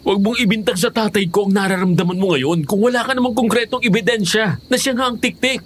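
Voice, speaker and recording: A man's voice: high voice, quick pace, slight background noise, close to an everyday voice. A man playing a loving but hard-headed young husband, earnest and dramatic, in a horror audio drama. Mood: defensive. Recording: music behind the voice.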